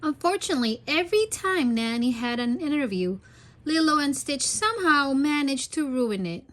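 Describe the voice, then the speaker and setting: High-pitched woman reading a children's story. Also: expressive, moderate pace, fairly bright tone. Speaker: storybook read-aloud narrator. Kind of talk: expressive children's storytime narration.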